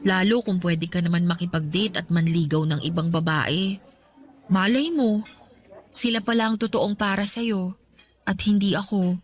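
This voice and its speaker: A woman's voice: mid-range voice, close to an everyday voice. An actress playing a guarded, devoted young working woman in a radio romance drama, speaking earnestly and apologetically. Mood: apologetic, gentle. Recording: soft music bed underneath. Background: music.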